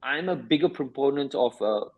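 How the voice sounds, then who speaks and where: A man's voice: medium pitch, lively intonation, moderate pace, creaky. A man who works as a sports scientist and performance coach, answering interview questions in a reflective way and explaining with examples.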